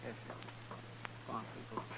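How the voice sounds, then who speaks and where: An actor playing a loyal, simple frontier deputy with a rural American drawl, earnest, rambling and deferential. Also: male voice, a little fast, pushed and bright, natural voice. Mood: dutiful. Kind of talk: old-time radio western acting. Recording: noisy old radio recording.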